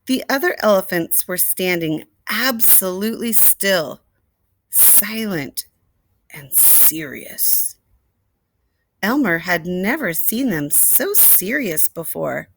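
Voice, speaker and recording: A woman storyteller reading a picture book expressively to young children, deliberately, with asides and questions to the listeners. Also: medium pitch, expressive, fairly bright tone, a little hiss or hum, poor audio. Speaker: read-aloud storyteller.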